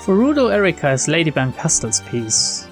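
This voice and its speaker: Informal male gamer over soft music. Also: fairly high pitch, big pitch swings, moderate pace. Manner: informal, wry. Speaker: gaming video host. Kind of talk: reading game text aloud with casual commentary. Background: soft game music.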